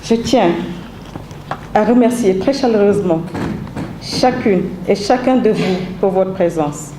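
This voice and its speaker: A woman with a slightly stuffy voice from a cold delivers a formal, measured thank-you speech, pausing on fillers. Her voice rings around a roomy event hall. A steady hiss of background noise runs under it. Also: mid-range voice. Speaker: author presenting her book.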